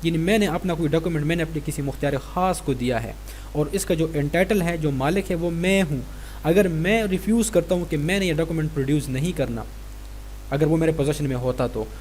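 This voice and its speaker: A man's voice: on the high side, brisk, darkish tone. A man with a Pakistani accent giving an explanatory law lecture, reading statutes and explaining them. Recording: clear background noise with hum.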